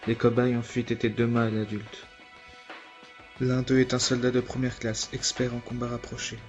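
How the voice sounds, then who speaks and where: A man's voice: mid-range voice, monotone, normal speed, dull audio, over music, close to an everyday voice. A man in an amateur French anime dub playing a grave secret agent who narrates. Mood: grave, reflective. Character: grave adult male secret agent recounting a manhunt.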